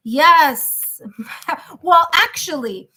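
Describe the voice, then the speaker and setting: A woman's voice: sits high, expressive, drawn-out words, bright, forceful tone. A woman with a New York accent giving live tarot readings in a chatty, reassuring way, thinking aloud with fillers. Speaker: tarot reader.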